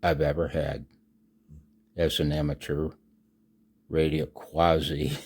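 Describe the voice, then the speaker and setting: An American man in his sixties reading his own announcement aloud, emphatically and with dramatic pauses, as a radio hobby vlogger. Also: a bass voice, level tone, slightly rough. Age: 60s.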